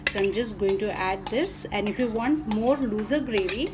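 Indian accent lady talking thru a recipe step by step, normal mid pitch, casual. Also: normal speed, very noisy. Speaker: host of a cooking channel.

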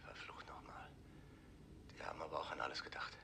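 An actor as a grim, hushed spaceman. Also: fairly quick, in a whisper, lightly acted. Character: grim, determined male astronaut trapped on an overrun station. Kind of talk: 1960s German TV science fiction acting. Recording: 1960s TV soundtrack with loud music.

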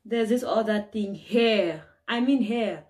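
A young woman from Cameroon telling her own stories to the camera, animated and exclaiming, acting out the people she met. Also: medium pitch, takes it slowly. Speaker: vlogger. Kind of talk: casual personal storytelling to camera.

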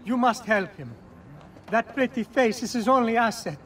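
A man's voice: extremely high pitch, animated, moderate pace, fairly bright tone. An actor playing a teasing, easygoing Italian elder brother, a young man with an Italian accent, in a video game. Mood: playful. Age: young adult. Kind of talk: video game cutscene acting.

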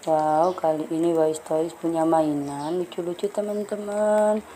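A woman's voice: sits low, steady tone, unhurried, warm tone, a little hiss or hum. A woman vlogging a walk through a toy stall, filming on a handheld phone and exclaiming over each toy to her viewers. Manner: enthusiastic, repetitive exclamations addressing friends. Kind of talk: toy shop walkthrough vlog. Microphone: handheld phone camera.

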